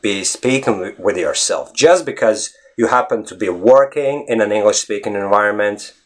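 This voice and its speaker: Low-pitched Latvian man explaining to viewers. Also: moderate pace. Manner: emphatic, conversational, often checks with "you know what I mean". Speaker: online English-learning vlogger. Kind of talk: casual explanatory talk to viewers.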